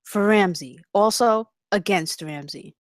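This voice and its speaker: A woman at a live table read playing fierce, catty, confrontational women in a campus comedy, speaking deliberately. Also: mid-range voice, no echo, clean studio sound, natural voice. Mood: vengeful.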